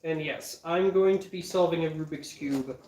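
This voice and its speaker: A man chatting casually on a cooking livestream while losing his voice, rambling and often clearing his throat. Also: a little high, normal speed, darkish tone. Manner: rambling, frequent throat-clearing, easy laughter. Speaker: livestreaming home cook. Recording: echoey room, mic at a distance.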